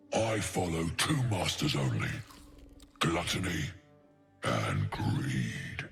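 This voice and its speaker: An actor voicing a huge, gluttonous, greedy ogre mercenary in a video game, eloquent and pompous, savoring florid words. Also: male voice, rumbling low, gravelly, heavily acted. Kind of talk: video game character acting.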